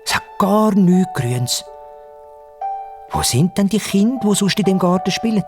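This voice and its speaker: A man voicing an excitable, impatient Easter bunny in a children's radio play, with a Swiss German accent and eager exclamations. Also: high-pitched, unhurried, over music, voice pushed up. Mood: excited, puzzled. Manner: eager, exclaiming, wondering aloud. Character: excitable, impatient, easily startled young-hearted male Easter bunny.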